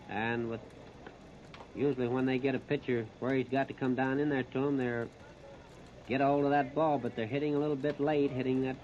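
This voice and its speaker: A man's voice: medium pitch, darkish tone. Muffled 1930s American baseball radio man. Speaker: baseball radio play-by-play announcer. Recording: muffled, noisy 1930s radio disc recording.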